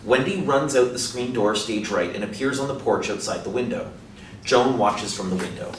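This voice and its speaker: A man's voice: fairly low pitch, normal speed. A man reading out stage directions as scripted narration. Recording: echoey room, distant mic, faint noise.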